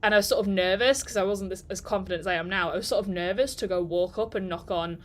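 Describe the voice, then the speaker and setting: A woman's voice: mid-range voice, fast. A woman in her twenties, chatting and telling stories on a live work stream, rambling and laughing between thoughts. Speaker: digital marketer vlogger. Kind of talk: casual storytelling during a live work stream.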